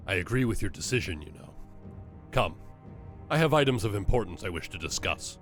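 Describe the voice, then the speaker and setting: A secretive witch hunter from a fantasy audio drama, played by a man. His voice is fairly low. He speaks as one who confides. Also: sing-song, a little fast, fairly bright tone, faint music behind, exaggerated voice. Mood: confiding.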